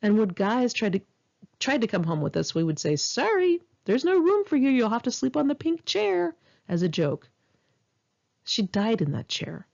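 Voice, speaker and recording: Female author narrating her own memoir audiobook; conversational, animated intonation, slightly lo-fi sound. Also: fairly high pitch, moderate pace, creaky. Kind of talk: first-person memoir narration, audiobook read in one take.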